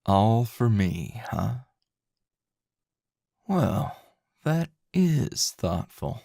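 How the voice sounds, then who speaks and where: A man playing a grumpy middle-aged teacher in an intimate ASMR roleplay, a teasing, possessive lover with a low, gravelly, husky murmur and a slow drawl. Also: big pitch swings, warm tone, no room sound, studio quality, full character voice. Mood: amused, teasing.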